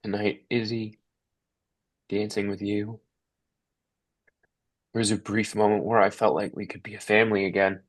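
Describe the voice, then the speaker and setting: A man's voice: on the low side, level tone, moderate pace, pauses often, natural voice. A man playing a guilt-ridden, grieving father, sombre and confessional, in a dramatic table read.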